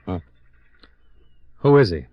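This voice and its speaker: An American actor playing a wry, easygoing insurance investigator with dry, understated wit in a 1950s radio drama. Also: male voice, sits low, sing-song, slow pace, dull audio, heavily acted. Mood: gently probing.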